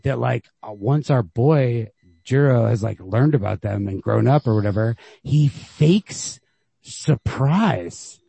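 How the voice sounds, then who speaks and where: A man's voice: medium pitch, expressive, slow, creaky, warm tone, slightly rough, no room sound. A man hosting a podcast, casually discussing a story in a rambling way, retelling it with quoted reactions of his own. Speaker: podcast host. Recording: lo-fi home podcast recording.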